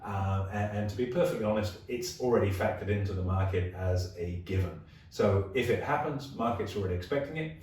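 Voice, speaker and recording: A hoarse, gravelly-voiced man with an Australian accent, hosting an investing podcast and explaining ideas through everyday examples. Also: lowish voice, a little fast, few pauses, darkish tone, very echoey, far from the mic, poor audio. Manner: explains with everyday analogies, frequent restarts. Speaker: podcast host. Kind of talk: casual investing podcast chat.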